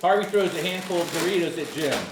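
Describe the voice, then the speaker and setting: A man's voice: fairly high pitch, fast, distant mic. A man narrating stage directions and character descriptions at a screenplay table read. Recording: echoey room, off-mic, faint hiss.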